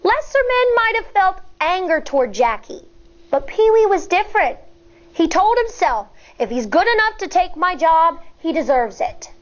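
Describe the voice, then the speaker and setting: A woman teacher reading a picture book aloud to children, expressive and deliberate, stepping aside at times to explain. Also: extremely high pitch. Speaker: elementary school teacher. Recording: dull, lo-fi sound.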